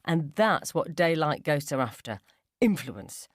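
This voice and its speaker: A woman reading a first-person ghost story as scripted dramatic narration, measured and suspenseful. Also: low-pitched, sing-song, no echo, clean studio sound. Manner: suspenseful, measured, acts out dialogue.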